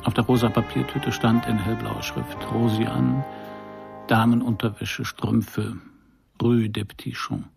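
A man's voice: mid-range voice, little pitch movement, unhurried, creaky, over music. An actor playing a middle-aged private detective in a noir radio play, narrating his case in a dry, laconic, sardonic way. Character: hard-boiled male private detective narrating his case, wry and probing.

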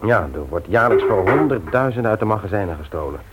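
A man's voice: fairly low pitch, lively intonation, quick pace, close to an everyday voice. An actor playing a teasing, forgetful husband and father in a naturalistic radio drama, speaking in a hesitant, conversational way. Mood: pondering. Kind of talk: naturalistic Dutch radio play acting. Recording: noisy old radio play recording, clicks.

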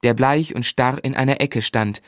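An actor in a German radio drama playing an earnest gentleman who narrates his own story in measured, literary phrases. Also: medium pitch, fairly even intonation, no echo, studio quality, natural voice. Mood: grave, observant.

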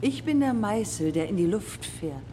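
A woman's voice: mid-range voice, lively intonation, normal speed, background noise, close to an everyday voice. An actress playing an intense, challenging woman aviator and sculptor in a radio drama, with terse questions and poetic phrases.

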